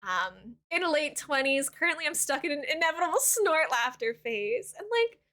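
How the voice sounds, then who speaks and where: A woman in her thirties with a high-pitched voice, chatting casually with her viewers as a streamer and rambling with lots of "like" fillers. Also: sing-song, normal speed. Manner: warm toward chat, thanks new followers. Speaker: Twitch streamer. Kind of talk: casual live-stream chat while playing a game.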